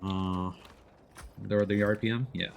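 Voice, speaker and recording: A man with a fairly low voice talks through a video game for his stream. He speaks casually and a bit hesitantly, working things out aloud, with game noise clearly audible behind him. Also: little pitch movement, a little slow. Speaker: livestreamer, gamer. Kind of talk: casual gameplay commentary. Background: video game sounds.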